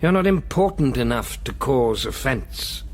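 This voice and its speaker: An actor playing a powerful world controller in a radio drama, measured, condescending and imperious. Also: male voice, medium pitch, animated, slight background noise, lightly acted. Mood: quiet menace. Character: coldly menacing powerful male world controller.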